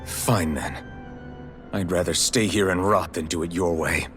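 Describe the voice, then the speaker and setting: A man's voice: sits low, unhurried, music in the background. A man playing a resolute young male hero in a video game cutscene, blunt and determined. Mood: defiant.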